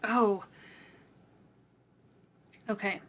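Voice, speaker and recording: A woman's voice: medium pitch, steady tone, very slow, fairly bright tone. A woman co-hosting a book discussion in a casual, tentative way, as if thinking aloud. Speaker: co-host of a book read-along podcast.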